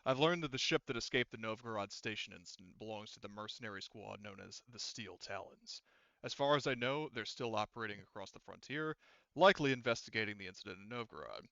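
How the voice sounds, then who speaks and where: Tabletop villain, male game master acting: sinister mastermind, low, composed, menacing, cleanly enunciated. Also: gravelly, no room sound, highs cut off. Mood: calm, sinister. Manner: calm, menacing, measured. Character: low, calm, sinister male criminal mastermind giving orders. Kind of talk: tabletop roleplaying game character voice.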